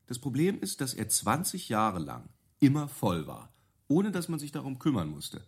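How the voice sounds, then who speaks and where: An actor in a radio play voicing a confident business consultant who pitches in a deliberate, rhetorical way. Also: male voice, wildly swooping pitch, darkish tone, slightly rough, full character voice. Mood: measured, persuasive. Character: confident male business consultant pitching a bold idea, measured. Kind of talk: radio play dialogue, deliberate persuasive presentation.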